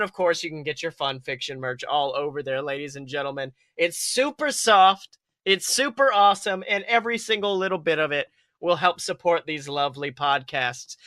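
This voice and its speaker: A man hosting a podcast with playful, excitable comic chat and story reading. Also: on the high side, no echo, clean studio sound. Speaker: podcast host.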